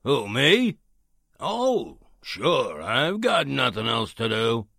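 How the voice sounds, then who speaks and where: A man's voice: medium pitch, wildly swooping pitch, slow, gravelly, pushed and bright, dry recording, clean studio sound, exaggerated voice. A man playing a surly, sarcastic radio control operator in a radio drama, grudging in everything he says.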